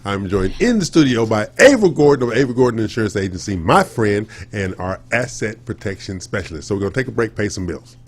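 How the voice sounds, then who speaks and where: A man's voice: fairly low pitch, animated, brisk, hardly any pauses. A man hosting a radio interview in a studio, chatting casually and agreeably with a guest. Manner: agreeable, affirming. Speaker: radio show host.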